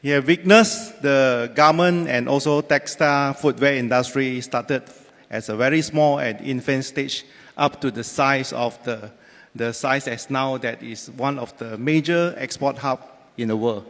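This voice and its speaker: A man renders a panelist's words into English at a conference, speaking steadily with the odd small hesitation. His mid-pitched voice rings out in a reverberant hall. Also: unhurried, fairly bright tone. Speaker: panel interpreter. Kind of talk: consecutive interpreting.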